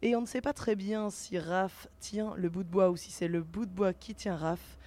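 A woman's voice: medium pitch, fairly even intonation, normal speed, a little mellow, no echo. A woman hosting a live festival radio broadcast, presenting to listeners. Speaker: radio host.

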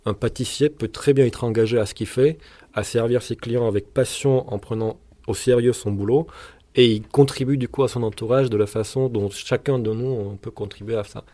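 A man's voice: mid-range voice, steady tone, normal speed, mellow. A man whose first language is Turkish, a rock singer and guitarist answering interview questions in a thoughtful, opinionated way with frequent hesitations.

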